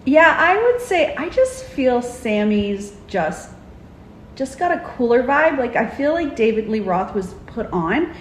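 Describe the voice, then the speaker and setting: A woman in her forties who tells family stories in an animated way, laughing often, in a casual interview with her husband. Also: on the high side, unhurried. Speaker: author, guest. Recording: dull lo-fi sound with background noise.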